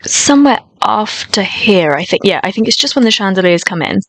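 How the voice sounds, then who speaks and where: A woman with a high voice chatting casually and gushing enthusiastically while reacting to a music video. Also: lively intonation, fairly quick, fairly bright tone, dry recording.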